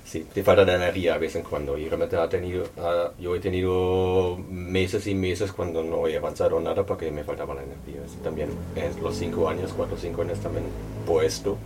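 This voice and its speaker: A non-native Spanish speaker presenting their book at a public talk, speaking hesitantly and thoughtfully with many pauses and fillers. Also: low voice, flat delivery, recorded from a distance, noisy background. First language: not Spanish. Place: local venue at a public presentation.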